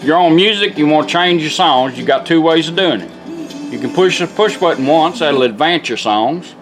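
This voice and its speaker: A man's voice: fairly high pitch, expressive, moderate pace. A man giving a casual, hands-on product demonstration in a folksy, plain-spoken way. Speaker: car radio restorer demonstrating a product. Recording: music playing behind the voice. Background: music from a car radio.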